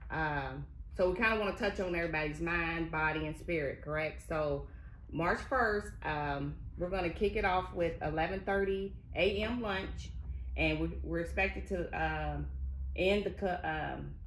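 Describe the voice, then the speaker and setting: Older woman, friendly union guest, halting delivery, low voice. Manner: friendly, hesitant with many ums. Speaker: community services member, guest. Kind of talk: casual interview. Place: union hall. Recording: echoey room, faint noise.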